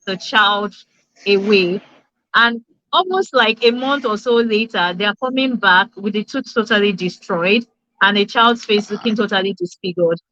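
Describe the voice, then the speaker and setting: A woman's voice: medium pitch, normal speed, sharp tone, no echo. A young Nigerian woman with a Nigerian accent, speaking earnestly as a dentist guest in an interview, with many ums and restarts. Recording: dull lo-fi dry sound.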